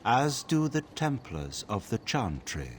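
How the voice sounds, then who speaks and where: An actor playing a mages' emissary in a fantasy game, speaking in a formal, declamatory manner. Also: male voice, medium pitch, very animated, slow, a little hiss or hum. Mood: solemn. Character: formal male mage emissary pledging aid.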